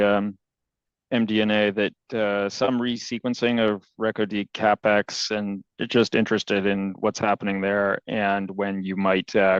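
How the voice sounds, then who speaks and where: A man calling in as a financial analyst, asking questions on an earnings call with halting phrasing. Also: lowish voice, clear, resonant tone, dry recording. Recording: dull, band-limited phone conference audio.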